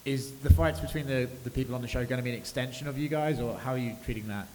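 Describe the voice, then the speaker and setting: A man's voice: mid-range voice, quick pace, dark tone. A male reporter asking long, winding questions at a press session. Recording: echoey hall with faint hiss.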